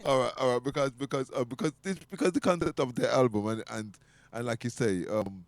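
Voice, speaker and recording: A man hosting a casual live interview, haltingly repeating words as he phrases his questions. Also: mid-range voice, dry recording. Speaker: radio show host, interviewer.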